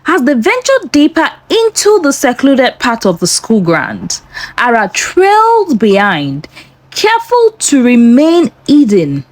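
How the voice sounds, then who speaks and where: A female narrator reads a suspenseful folktale with a dramatic delivery. Her voice sits a little high and swings expressively up and down. She takes her time over each phrase, keeping a slow, measured pace. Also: dry recording. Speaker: storyteller narrator.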